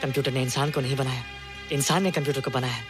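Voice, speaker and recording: A man's voice: mid-range voice, fairly even intonation, fast, close to an everyday voice. A man as earnest childlike student, music behind. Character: earnest, gentle, childlike young male student Rohit. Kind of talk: Hindi film dialogue.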